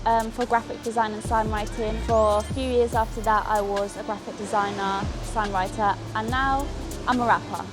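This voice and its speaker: A woman's voice: medium pitch, steady tone, moderate pace, music in the background. A 21-year-old woman from England casually introducing herself to camera. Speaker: company staff.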